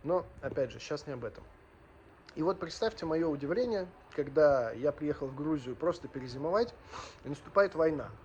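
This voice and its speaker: A man's voice: fairly high pitch, expressive, brisk, darkish tone, muffled recording. Earnest man over background noise. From Ukraine and Russia. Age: 30s. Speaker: vlogger. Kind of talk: personal storytelling to camera.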